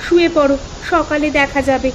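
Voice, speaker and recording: A woman voicing a practical, concerned village wife and mother in a cartoon, fretting and gently chiding. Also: high-pitched, fairly even intonation, brisk, highs cut off, background noise, natural voice. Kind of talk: Bengali cartoon dub.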